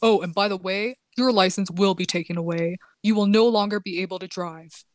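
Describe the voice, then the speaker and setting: A woman's voice: mid-range voice, brisk, dry recording, clean studio sound, natural voice. A woman voicing a stern, matter-of-fact male police officer in a dramatic story reading, politely firm and official. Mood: stern, unmoved.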